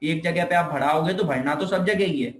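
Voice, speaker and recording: Echoey, higher-pitched Indian man teaching. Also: a little fast. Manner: repeats himself, poses questions and answers them. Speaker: physiotherapy teacher. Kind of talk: informal explanatory lecture in Hindi mixed with English terms. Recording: echoey room.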